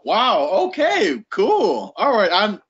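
A man in his late thirties, a guest on a podcast, telling stories with animated energy and chuckling between thoughts. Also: high voice, slow, breathy edge. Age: late 30s. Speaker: guest writer. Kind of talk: casual interview storytelling. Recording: dull lo-fi audio.

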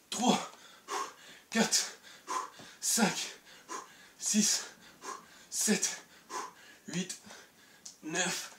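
Young man, fitness coach, counting reps, out of breath, energetic, distant camera mic, echoey bedroom. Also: high voice, background noise. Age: young adult. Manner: energetic, encouraging, exercising while talking. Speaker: fitness youtuber. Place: bedroom at home. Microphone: camera mic from across the room. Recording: echoey room, voice from far off.

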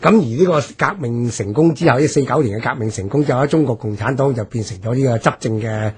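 A man with a Hong Kong Cantonese accent and a strongly husky, raspy voice. His pitch is medium. He explains his points as a commentator in a radio discussion. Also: quick pace, darkish tone.

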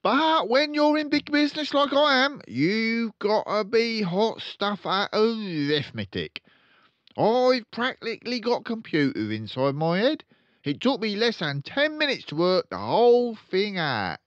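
A man's voice: high-pitched, very animated, measured pace, a bit bright, dry recording, muffled recording, voice pushed up, full character voice. A man voicing a boastful, bullying, hot-tempered father in a storybook, blustering and pompous. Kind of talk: storybook read-aloud character voice.

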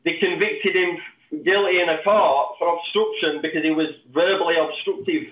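A man, giving unscripted interview talk in a halting, repetitive way over a video call. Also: a little high, steady tone, far from the mic. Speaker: interview guest recounting legal cases. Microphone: webcam or device mic on video call. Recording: echoey, phone-like video call from off the mic.

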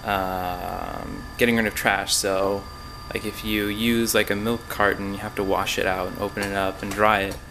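A young man giving a casual, halting, understated walk-through tour on a handheld camera. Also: flat delivery, noisy background. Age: young adult. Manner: low-key, halting, understated. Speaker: exchange student giving a tour. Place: indoors in a student dormitory, walking through rooms.

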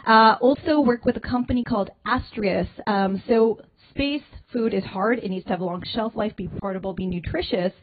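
A young woman giving an energetic conference talk on space medicine, stressing key phrases with a handheld mic. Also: medium pitch. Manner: energetic, punchy emphasis with slowed key phrases. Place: indoor wood-paneled hall, at a podium. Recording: tinny phone-like sound.

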